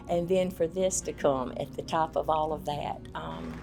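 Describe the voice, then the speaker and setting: A woman's voice: low-pitched, steady tone, measured pace, vocal fry, darkish tone, faint music behind. A woman who coached basketball, speaking in an interview with emphatic, repeated points. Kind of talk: interview recollection.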